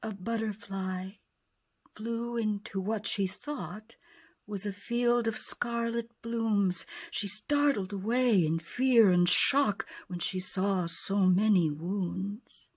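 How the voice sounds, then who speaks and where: A woman narrates a children's picture book in rhyming verse. She takes it very slowly, at a medium pitch, with dramatic expression and dips toward a hush. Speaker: author and narrator.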